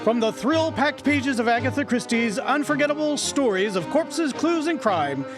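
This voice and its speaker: A theatrical forties radio announcer. The voice is pitched extremely high and declaims grandly, with music prominent behind it. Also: male voice, expressive, moderate pace. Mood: grand, suspenseful. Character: dramatic, booming radio show announcer. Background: loud musical accompaniment.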